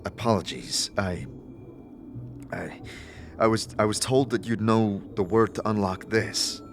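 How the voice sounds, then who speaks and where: A man's voice: fairly low pitch, faint music behind. Man playing a hesitant, earnest wanderer hero. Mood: polite, hesitant. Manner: halting, polite.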